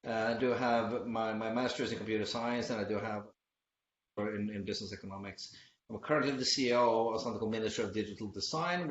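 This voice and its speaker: Hesitant low-voiced man, muffled and echoey. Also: slight background noise. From Sweden. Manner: hesitant, many fillers and restarts. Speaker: conference speaker. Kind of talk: online presentation talk. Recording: muffled lo-fi audio in an echoey room.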